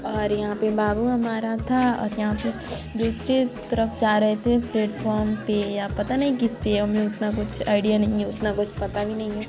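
A woman's voice: mid-range voice, little pitch movement, normal speed. A young woman, narrating her trip in a casual, rambling voice-over and often restarting her sentences. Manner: rambling, many "matlab" restarts. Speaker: vlogger. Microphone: phone. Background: background music.

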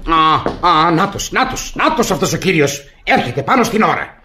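An actor playing a stubborn, aggrieved husband, blunt, insistent and exasperated, in classic radio theatre comedy style. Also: male voice, on the high side, big pitch swings, sharp tone, slight background noise. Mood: stubborn, sure.

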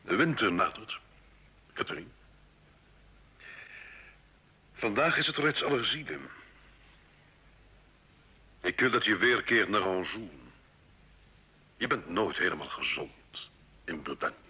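A very raspy, haughty baron. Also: male voice, lowish voice, animated, measured pace, long pauses, exaggerated voice. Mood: curt, brooding. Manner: imperious, dismissive. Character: haughty, domineering rich French baron, curt husband. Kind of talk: old Dutch radio drama acting. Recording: dull old radio play recording.

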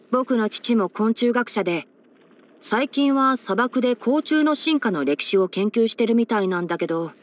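A woman voicing a boyish young male fox-eared forest ranger and scholar in an anime game, instructive and frank with a gently teasing manner. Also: a little high. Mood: matter-of-fact. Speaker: character voice actor. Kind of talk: anime game character voice lines.

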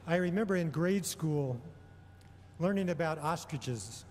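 A middle-aged man delivering a prepared homily in a measured, deliberate way, pausing often between phrases. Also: on the high side, expressive, darkish tone, slight background noise. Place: large indoor church or hall. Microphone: gooseneck microphone on a lectern. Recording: long reverberation of a large hall.

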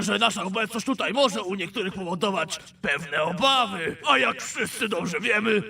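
A man voicing a boastful, crude and taunting villain in an anime parody dub, raspy and theatrical. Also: high voice, bright, forceful tone, no echo, full character voice. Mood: mock-eloquent. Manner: swaggering, theatrical.

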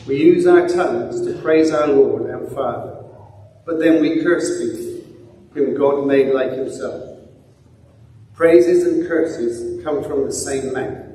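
An older man reads scripture in a slow, measured voice with a mellow, slightly raised tone. He sounds far from the mic, and a long church echo carries every word. Faint room hum sits underneath. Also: mid-range voice. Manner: measured, deliberate reading. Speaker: scripture reader. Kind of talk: slow Bible reading. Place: large church, at a lectern. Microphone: stand mic, filmed by a far camera.

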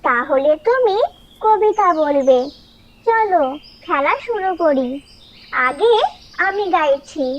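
A woman's voice: extremely high pitch, lively intonation, takes it slowly, long pauses, lo-fi sound, exaggerated voice. A woman voicing a small cheerful talking bird who leads games in a children's puppet show, in a childlike sing-song manner. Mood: cheerful, instructing.

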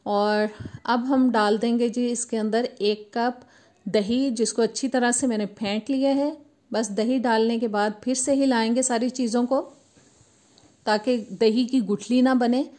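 A woman, host of a cooking channel, calmly explaining a recipe step by step in voice-over. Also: fairly high pitch, unhurried. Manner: steady step-by-step instructional tone.

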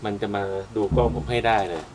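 A man's voice: on the low side, quick pace, a crowd in the background. Easygoing man vlogging outdoors. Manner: chatty, easygoing, narrates as he walks. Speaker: travel vlogger. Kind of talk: casual travel vlog. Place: outdoors at a cave entrance on a cliff. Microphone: handheld camera mic. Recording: music playing under the voice.

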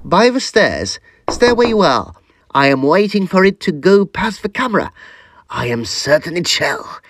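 An actor playing an eager, exacting young Edwardian gentleman ghost hunter with a formal, theatrical manner in an audio drama. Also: sits high, big pitch swings, unhurried, full character voice. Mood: tense, commanding.

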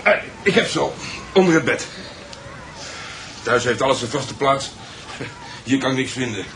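An actor playing a dry, sardonic, grumbling husband in a Dutch radio drama. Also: male voice, medium pitch, lively intonation, normal speed, a bit bright, a little hiss or hum. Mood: irritated.

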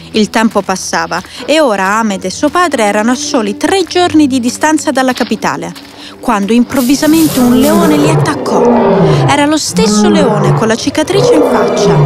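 A woman's voice: high voice, very animated, a little slow. A woman narrating a scripted fairy tale for children as a storyteller. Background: faint music.

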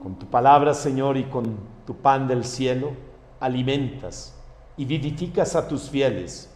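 A man from Colombia, a priest saying mass prayers and giving parish notices in a warm pastoral way. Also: medium pitch, unhurried. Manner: warm pastoral address to the faithful. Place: church. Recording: echoey church hall with faint background noise.

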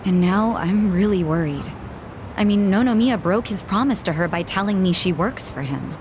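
A woman's voice: mid-range voice, lively intonation, moderate pace, background noise, lightly acted. An actress playing a worried, earnest young woman in a dramatic video game. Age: young adult. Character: worried young hostess, guarded, earnest twin sister.

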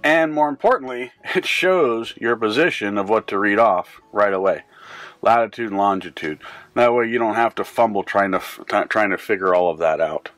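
A man's voice: on the low side, normal speed, a bit bright. A middle-aged man with a North American accent, giving an informal, conversational how-to explanation as a video host. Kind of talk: informal instructional vlog. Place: inside a small boat cabin at the helm.

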